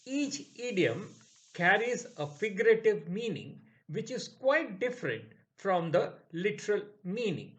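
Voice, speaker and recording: A man narrating a scripted English idioms lesson in a slow, measured way. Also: high voice. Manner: slow, measured, lively intonation. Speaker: English teacher.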